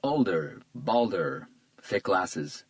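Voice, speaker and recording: A man's voice: on the low side, huge pitch swings, very slow, vocal fry, no room sound, exaggerated voice. A male narrator voicing an observant, understated detective who talks in a modest, matter-of-fact way.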